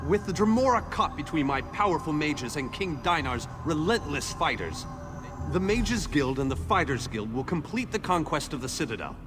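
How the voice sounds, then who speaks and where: An actor voicing a pompous, excitable mage commander in a fantasy video game, with grandiose, formal diction. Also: male voice, fairly high pitch, moderate pace, music in the background. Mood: urgent, scholarly. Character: excitable pompous male mage commander rallying his allies.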